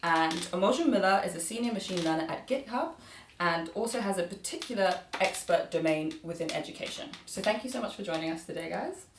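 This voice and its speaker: A woman's voice: lowish voice, animated, a little fast. Woman host, distant and echoey. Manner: polite, scripted questions. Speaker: podcast host. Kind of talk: conversational podcast interview. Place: conference venue, indoors. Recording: echoey room, distant mic, faint noise.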